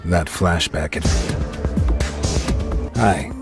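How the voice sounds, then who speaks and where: An extremely low, gruff male vampire from a video game. He is wry and guarded, delivering everything with dry sarcasm. Music plays clearly behind his voice. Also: very slow, exaggerated voice. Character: deadpan amnesiac newly turned male vampire, gruff and wry. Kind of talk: video game cutscene acting.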